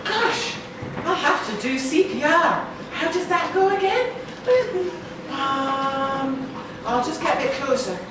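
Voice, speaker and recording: A woman's voice: high voice, slow pace. A woman voicing an eager, bumbling first responder in a live puppet show. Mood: flustered, frantic. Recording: echoey noisy hall, far from microphone, audience.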